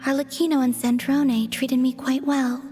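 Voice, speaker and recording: A reserved young woman from a video game, played by an actress, talks in a somewhat high voice. The manner is formal, polite and restrained. Music is clearly heard behind the words. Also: little pitch movement, moderate pace, mellow, natural voice. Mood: wistful, grateful.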